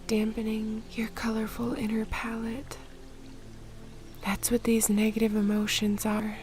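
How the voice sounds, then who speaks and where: A woman guiding a meditation in a soft, breathy, whispery voice, with a soothing, gentle and measured manner. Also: a little high. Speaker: meditation guide. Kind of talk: guided meditation, soft-spoken narration. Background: music.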